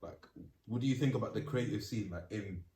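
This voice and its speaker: A man with a somewhat low voice puts long, wandering questions to the guests. He sits back from the mic in a roomy room. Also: a little fast, warm tone, recorded from a distance. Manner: rambling, probing questions. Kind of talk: casual group chat.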